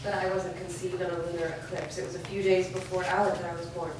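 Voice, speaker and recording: A woman's voice: lowish voice, flat delivery, fast, close to an everyday voice. A woman playing a determined, guarded grown daughter in a staged fantasy drama reading. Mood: questioning. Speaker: actor. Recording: echoey room, distant mic, background noise.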